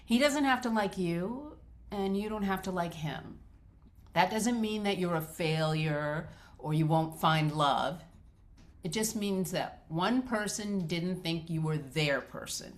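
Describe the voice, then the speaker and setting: An actress reading a script as a patient, supportive therapist, speaking in a measured, gentle, professional way. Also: female voice, lowish voice. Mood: measured, reassuring. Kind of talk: dramatic script read.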